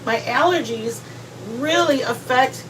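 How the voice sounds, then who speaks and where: Woman, congested, casual livestream host, rambling, slightly high voice, faint background noise. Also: measured pace. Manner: rambling and chatty, with many restarts. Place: at home, near the kitchen.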